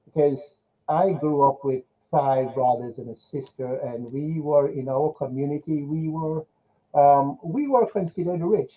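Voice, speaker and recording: A man's voice: fairly high pitch, phone-quality sound. An older man from Jamaica with a Jamaican accent, telling his own story as a guest in an interview. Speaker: interview guest, business coach.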